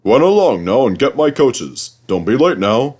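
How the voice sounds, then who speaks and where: A man's voice: medium pitch, big pitch swings, moderate pace, a little mellow, slightly rough. A man voicing a big, proud male express steam engine in a storybook reading, pompous and condescending.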